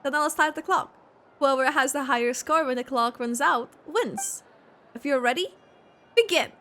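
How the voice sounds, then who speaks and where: A woman voicing an earnest young sports organiser in a video game, brisk, practical and friendly. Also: high-pitched, bright, forceful tone, slight background noise. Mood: upbeat. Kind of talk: video game character acting.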